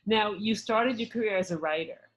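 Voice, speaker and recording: A woman's voice: mid-range voice, moderate pace, poor audio. A woman hosting a podcast, asking questions and giving short encouraging replies. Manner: brief encouraging responses and questions. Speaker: podcast host. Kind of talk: interviewing.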